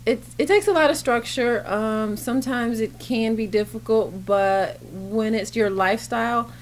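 A woman's voice: a little high, measured pace. A woman in her forties giving casual, explanatory answers as an interview guest. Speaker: surgeon and competitor, interview guest. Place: indoor backdrop set at a competition venue. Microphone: interviewer's handheld microphone. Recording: noisy event venue ambience.

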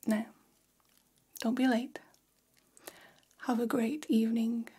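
A woman playing a gossipy, flattering vampire hair stylist in a whispered ASMR role-play, speaking in a soft, breathy, conspiratorial hush. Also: a little high, full character voice. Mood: flattering, soothing. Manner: slow, hushed, conspiratorial, indulgent. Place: small decorated indoor room.

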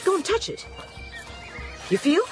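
Animated, dry-witted scientist. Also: female voice, very high-pitched, a little slow, faint music behind, exaggerated voice. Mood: keen, wry. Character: sharp, inquisitive woman scientist, dry-witted. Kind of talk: radio drama acting.

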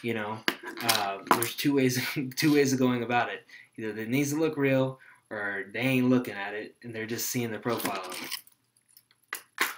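A young man, a fishing guide, explaining gear to a webcam at his desk in a small room, in a relaxed, rambling way with many fillers. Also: medium pitch, normal speed, background noise. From Texas. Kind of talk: casual tutorial to camera.

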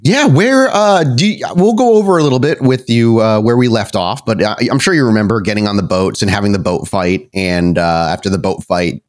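Low-voiced man, game storyteller. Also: fairly quick, hardly any pauses. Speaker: podcast host and game storyteller. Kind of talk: hosting and narrating a tabletop roleplaying game.

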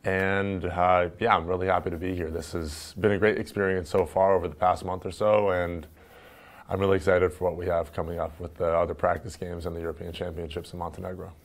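A young man who grew up in Turkey, a basketball player, answering interview questions in a relaxed, chatty way with lots of fillers, recorded on a lapel mic indoors. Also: sits low, brisk. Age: young adult. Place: indoor room with wood-panel wall.

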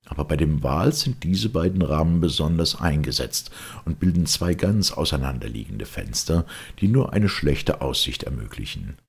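A man reading literary prose as an audiobook narrator, with a gravelly, husky voice and measured, deliberate phrasing. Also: rumbling low, big pitch swings.

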